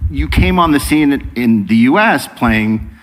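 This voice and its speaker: A man hosting an interview, putting questions conversationally in halting, tentative phrases. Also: medium pitch, lively intonation, rough recording. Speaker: interviewer, podcast host. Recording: faint background noise and ambience.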